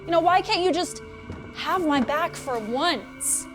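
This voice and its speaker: A woman's voice: extremely high pitch, expressive, a little slow, music in the background. A woman acting a hurt, sardonic younger sister in naturalistic drama. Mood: hurt, defensive. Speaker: actor.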